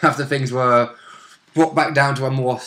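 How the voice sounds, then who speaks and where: A man with a bright, forward voice at a middling pitch chats about a tabletop role-playing campaign. He plays the co-host, adding wry, jokey comments in a casual way. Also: steady tone, moderate pace. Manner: jokey, chuckling, wry interjections. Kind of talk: casual chat.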